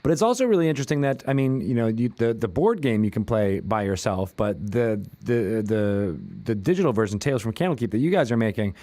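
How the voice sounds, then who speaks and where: Male host, mid-pitched, eager and chatty, dry close-mic studio sound. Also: expressive, brisk, few pauses, dark tone, no echo. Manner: friendly, enthusiastic, restarts sentences. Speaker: podcast host. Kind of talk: casual interview chat.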